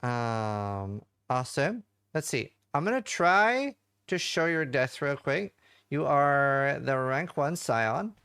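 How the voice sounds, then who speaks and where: A man's voice: mid-range voice, vocal fry, fairly bright tone, clear, resonant tone, no room sound, studio quality. A man hosting a casual post-show interview, asking upbeat questions with many fillers. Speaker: show host.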